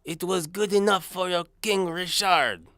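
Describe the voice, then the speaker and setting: A man voicing a blustering, forceful mercenary villain in an audio drama, who passes himself off as English. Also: high voice, steady tone, unhurried, no echo, studio quality, voice pushed up, exaggerated voice. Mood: indignant. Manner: forceful, sputtering when exposed.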